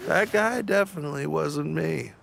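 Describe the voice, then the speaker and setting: A man's voice: fairly high pitch, normal speed, gravelly, fairly bright tone, a little hiss or hum. An actor playing a lazy, sleepy young male forest creature in a video game, evasive and groaning. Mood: evasive, reluctant. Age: young adult. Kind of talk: video game character acting.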